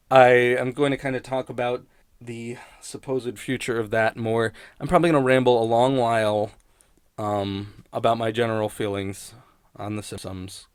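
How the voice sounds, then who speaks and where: A man with a smooth, clear voice at an average pitch talks solo in a loose, rambling style. He is hesitant and self-deprecating, occasionally swearing as he goes. The sound is dry, with no room around it. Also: no echo. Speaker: YouTube host and TV critic. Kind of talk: rambling casual solo commentary.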